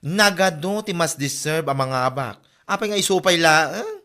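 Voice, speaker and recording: A woman's voice: deep voice, expressive, normal speed, sharp tone. A woman acting a sulky grown daughter in a comedy drama, blunt and dismissive. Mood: argumentative.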